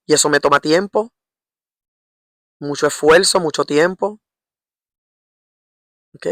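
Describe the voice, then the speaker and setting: Low-voiced Puerto Rican woman preaching informally. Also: no echo, studio quality. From Puerto Rico. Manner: halting, repetitive, seeks agreement with short tag questions. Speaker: lay preacher. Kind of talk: informal religious exhortation.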